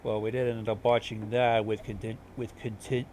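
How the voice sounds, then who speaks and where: A man's voice: lowish voice, little pitch movement, moderate pace, a little mellow, a little hiss or hum. A man giving casual commentary as a co-host in a group discussion of videos. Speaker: reaction show co-host.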